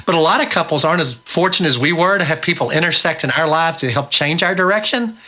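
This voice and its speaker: Man, radio guest, conversational, slightly high voice, bright and forceful. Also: lively intonation, quick pace. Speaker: interview guest, marriage ministry leader. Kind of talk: conversational interview.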